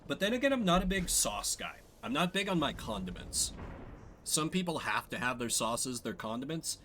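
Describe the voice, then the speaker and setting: Animated, opinionated male game streamer. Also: medium pitch, moderate pace, a little hiss or hum. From Midwestern United States. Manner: opinionated, rambling. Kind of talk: casual chat and game narration.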